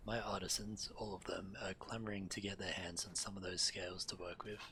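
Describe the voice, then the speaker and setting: A man's voice: low-pitched, little pitch movement, fairly quick, bright, forceful tone, a little hiss or hum. A man streaming a video game, reading quest text aloud over game music.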